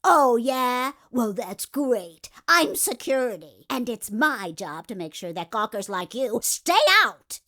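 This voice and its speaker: Brash, nagging older female security guard, swooping pitch. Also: expressive, slow pace, hardly any pauses, a bit bright. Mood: scolding, indignant. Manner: scolding, gossipy, old-fashioned folksy talk. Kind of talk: voiced dialogue for a video game fan dub. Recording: music behind the voice. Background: music.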